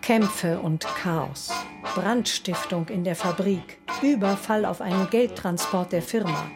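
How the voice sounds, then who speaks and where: A woman reading scripted narration in a terse, measured way. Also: on the low side. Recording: clear music under the voice. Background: music.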